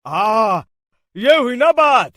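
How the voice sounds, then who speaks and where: An actor playing an excitable, panicky village man in a comic cartoon story, exclaiming shrilly and melodramatically. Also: very high-pitched, slow, dry recording, clean studio sound, full character voice. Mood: bossy.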